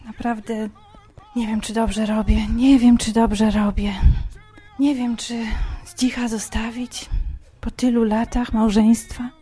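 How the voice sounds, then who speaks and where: A high-pitched older wife in a comic audio drama played by a woman, torn and doting. She frets, coaxes and repeats herself melodramatically, with faint music underneath. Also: level tone, slow, dark tone, breathy edge. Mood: fretful, torn. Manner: fretful, coaxing, repetitive. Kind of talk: amateur comic podcast radio drama.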